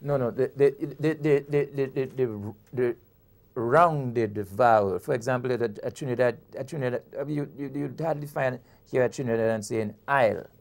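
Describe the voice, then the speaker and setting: A man talks through his poems as an interview guest. His voice has a gravelly edge and sits in an ordinary middle pitch. He is thoughtful and often repeats words while he searches for what to say next. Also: moderate pace. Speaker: poet and author, guest.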